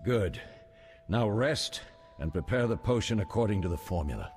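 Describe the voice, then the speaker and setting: Older game mentor, wise, fatherly, low voice, lively intonation, measured instruction. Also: faint music behind. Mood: approving, instructive. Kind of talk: video game voice acting.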